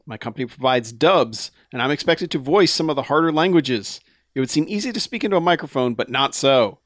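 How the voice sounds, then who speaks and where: Casual podcast host, male, mid-pitched, easygoing, dry close-mic sound. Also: no room sound. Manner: relaxed, laughs often, slows down when reading emails. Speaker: podcast host reading listener mail.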